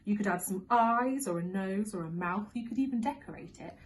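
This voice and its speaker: A woman's voice: mid-range voice, fairly quick, a little hiss or hum. A woman reading a children's story expressively as a school storyteller, leaning on key words for young listeners.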